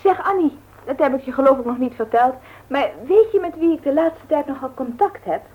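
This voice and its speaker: A woman's voice: high-pitched, animated, fairly quick, narrow, tinny audio. An actress playing a sociable, eager young woman who chats with visitors in a Dutch radio play. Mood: welcoming, chatty. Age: young adult. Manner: chatty, eager, friendly.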